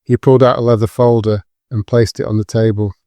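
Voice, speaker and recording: A man narrates a scripted drama in a mid-pitched, mellow voice. His delivery stays even and controlled. The sound is dry and close. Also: fast talker, dry recording.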